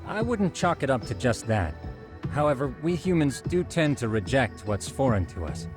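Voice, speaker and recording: A man's voice: mid-range voice, very animated, normal speed, lightly acted. An actor voicing a nerdy, earnest male detective in a video game, explaining things in a precise, didactic way. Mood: earnest, lecturing. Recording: background music under the dialogue.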